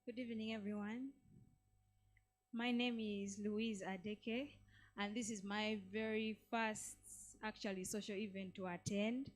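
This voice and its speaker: A woman attendee giving earnest, deliberate impromptu remarks to a gathering. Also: mid-range voice, fairly even intonation. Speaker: summit attendee.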